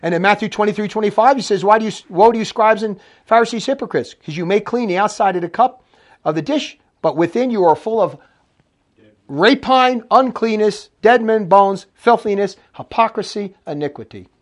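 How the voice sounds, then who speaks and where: A man preaching in a recorded lecture, his voice pitched high for a man. He talks emphatically and slows down to read scripture aloud.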